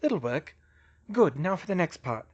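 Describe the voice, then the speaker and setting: A man plays a clever young witch heroine from a fantasy audiobook. She is defiant and highly strung, excitable as she thinks aloud, with a high voice and very lively intonation. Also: unhurried, muffled recording, slight background noise. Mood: puzzling, eager.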